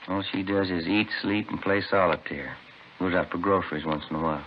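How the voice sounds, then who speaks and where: A grumbling American cop played by a man with a low voice and a folksy, fed-up manner. He sounds like a bored detective in an old radio drama, slightly noisy and muffled. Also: fairly even intonation, fairly quick, lightly acted. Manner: folksy, complaining. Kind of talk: 1950s radio drama, naturalistic dialogue. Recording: dull old radio recording, faint noise.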